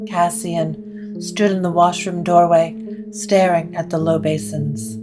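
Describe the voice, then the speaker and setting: A woman narrating a scripted gothic story in a measured, literary way. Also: fairly low pitch, level tone, over music.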